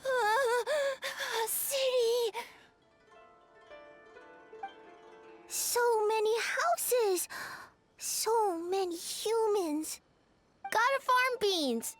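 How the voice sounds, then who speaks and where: A woman voicing a tiny, eager tanuki cub in a video game, speaking in simple, halting phrases like a small child. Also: extremely high pitch, soft background music, exaggerated voice. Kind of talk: English video game voice acting.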